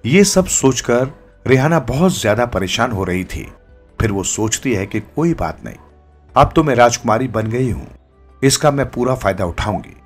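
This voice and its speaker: A man's voice: lowish voice, husky. A man narrating a scripted moral story as a storyteller.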